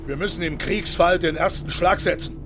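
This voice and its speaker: An impetuous, imperious Kaiser in a radio drama. His voice is pitched high and he snaps out dismissive orders over clearly audible outdoor vehicle-like noise. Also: moderate pace. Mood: commanding. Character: blustering, impetuous German Kaiser, brash and commanding. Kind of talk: historical radio drama acting. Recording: noisy outdoor scene, engine-like ambience.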